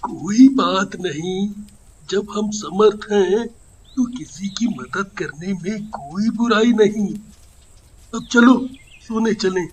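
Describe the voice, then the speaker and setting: A humble, good-hearted village man acted by a man with a high voice. He talks slowly and earnestly in storybook cartoon style, over faint noise. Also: low-quality recording. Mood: reassuring. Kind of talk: animated story voice acting.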